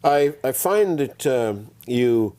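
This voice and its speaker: A man from Sri Lanka hosting a studio interview, speaking haltingly with many fillers and restarts. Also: medium pitch, lively intonation, dark tone. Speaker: talk show host.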